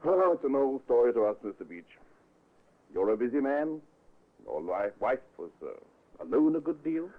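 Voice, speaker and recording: Shrewd police inspector, highly varied pitch. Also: male voice, very animated, normal speed. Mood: sombre, probing. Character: shrewd, measured male police inspector, methodical and cautious. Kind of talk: old radio drama acting. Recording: muffled, lo-fi old radio recording.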